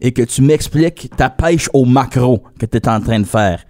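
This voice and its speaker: Male podcast host, Canadian French accent, medium pitch, casual interviewer. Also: normal speed, a little mellow.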